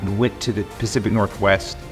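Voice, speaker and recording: A campus ministry leader speaking with praise about a student, relaxed, with occasional fillers. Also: male voice, lowish voice, fairly quick, soft background music. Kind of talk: tribute video interview.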